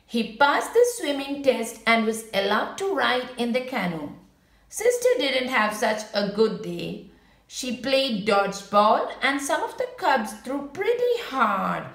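Casting: female storybook reader for children, very animated intonation, unhurried pace, roomy echoing sound. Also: a little high. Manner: expressive, unhurried picture-book delivery. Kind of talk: read-aloud storytelling for children with brief asides.

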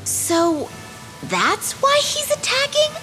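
A woman's voice: very high-pitched, wildly swooping pitch, slow pace, bright, forceful tone, faint music behind, full character voice. A woman voicing a naive, headstrong little fairy princess in a fantasy game, childlike and eager. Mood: puzzled, curious.

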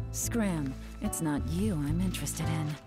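Low-voiced, menacing female spymaster. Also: extremely slow. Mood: cool menace. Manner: composed, taunting. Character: cool, menacing female spymaster, confident and mocking. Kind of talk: anime game trailer acting. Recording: game trailer under loud music.

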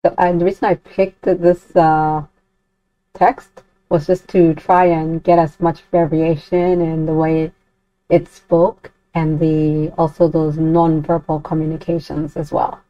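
A woman giving a casual software walkthrough as a tutorial host, talking as she works through the steps. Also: low voice, little pitch movement, measured pace, no echo. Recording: dull lo-fi home recording.

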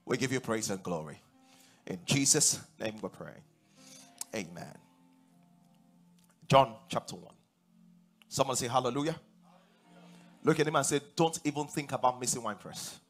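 A man in his forties with a Nigerian accent, preaching a sermon on a large church stage, animated and rising to loud emphatic declarations. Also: mid-range voice, normal speed, pauses often, faint music behind. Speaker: pastor.

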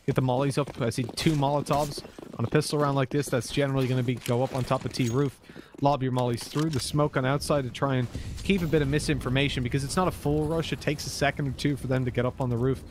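Irish man casting esports, mid pitch, faint noise behind; chatty, laughs easily, gets more excited as the action builds. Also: fast talker. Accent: Irish, with an American lilt. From Ireland. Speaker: esports caster. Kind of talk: play-by-play and color commentary.

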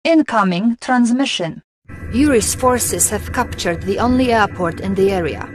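An actress playing a dutiful young Soviet intelligence officer, giving formal, measured briefings in a video game. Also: female voice, medium pitch, lively intonation, faint music behind, close to an everyday voice. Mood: formal, serious.

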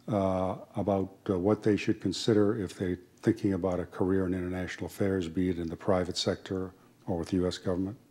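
A man moderating an interview, asking questions in a deliberate, polite way with many pauses. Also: low voice, warm tone, slightly rough. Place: university panel event room.

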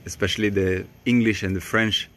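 A young man whose first language is Swedish, teaching voice technique to the camera in a slow, calm and deliberate way with long pauses, outdoors in a tropical garden. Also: on the low side, a little hiss or hum. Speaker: voice coach.

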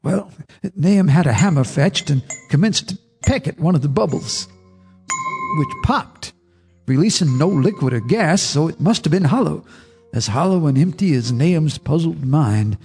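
An actor narrating a radio drama as an older farmer telling his memories in a measured, folksy way. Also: on the high side, expressive, a little mellow, slightly rough, heavily acted. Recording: reverberant radio drama with music.

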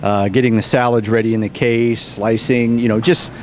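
Middle-pitched American man, background noise. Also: level tone, moderate pace, a little mellow. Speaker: cafe owner being interviewed. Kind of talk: casual explanatory interview talk. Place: indoors in a cafe, behind the counter. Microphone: interviewer's handheld microphone. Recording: clear background noise on location.

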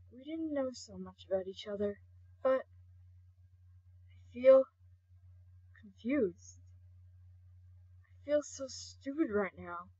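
A woman voicing a brooding, hot-tempered, guilt-ridden male skeleton royal guard in an amateur comic dub, halting and intense. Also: a little high, dark tone, breathy edge, no echo, full character voice. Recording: dull lo-fi home recording.

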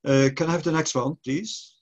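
A man's voice: a little high, moderate pace. Older Dutch man lecturing on a call. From Netherlands. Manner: relaxed, explanatory, with frequent hesitations. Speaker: presenter. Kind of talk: online lecture. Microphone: video call. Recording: slightly dull online call audio.

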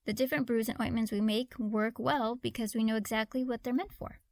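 A woman's voice: fairly high pitch, steady tone, brisk, a little mellow, no room sound, clean studio sound, lightly acted. A woman playing a friendly, awkward young witch in a conversational audio roleplay, hesitant and apologetic. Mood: apologetic, earnest.

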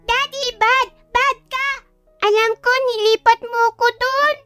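A pouty little Filipino girl in an audio drama. The voice is extremely high and slow, with childish Taglish and faint music behind it. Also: fairly even intonation, sharp tone, no echo, exaggerated voice. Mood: indignant. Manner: childish, simple broken Taglish. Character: pouty, clingy little girl scolding her daddy.

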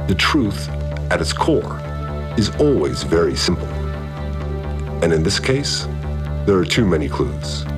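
Brooding detective type guy, really low voice, lively swings, audio drama with music behind. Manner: measured, deliberate, analytical. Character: methodical, brooding male investigator puzzling over a murder. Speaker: narrator investigator.

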